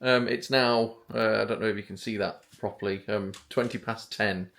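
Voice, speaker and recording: A man, talking quietly and hesitantly to camera in a casual travel vlog, pausing often with ums, on a clip-on microphone. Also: lowish voice, steady tone, gravelly. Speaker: vlogger.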